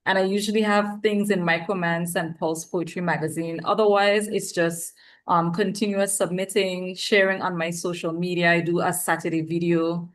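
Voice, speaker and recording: A woman's voice: fairly low pitch, fairly even intonation, moderate pace. A woman poet from the Virgin Islands, speaking at an open reading. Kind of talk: poetry reading and informal talk.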